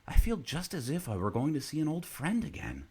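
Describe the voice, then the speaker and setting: A man's voice: a little high, wildly swooping pitch, fairly quick, a little mellow, breathy edge, voice pushed up, heavily acted. A man voicing a cheerful, contented father who speaks with pleased admiration.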